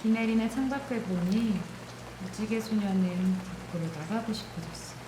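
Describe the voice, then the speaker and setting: A woman narrating a children's bedtime story in an expressive storyteller's style. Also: mid-range voice, normal speed, background noise. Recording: roomy echo, music underneath.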